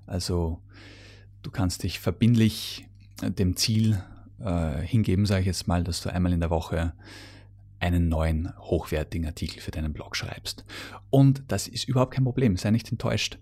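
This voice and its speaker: Male online-marketing coach, deep voice, slightly raspy, addresses the viewer directly, stumbles and corrects himself. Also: normal speed, warm tone. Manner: talks straight to the viewer, with frequent fillers and self-corrections; mixes English business terms into German speech. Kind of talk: solo teaching monologue on content marketing.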